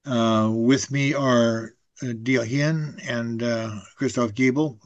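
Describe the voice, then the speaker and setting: A man's voice: medium pitch, steady tone, slow, dry recording, dull audio. Guy hosting a radio talk show, dry close sound, stumbles w lots of uhs. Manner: hesitant, with many fillers. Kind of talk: opening introduction.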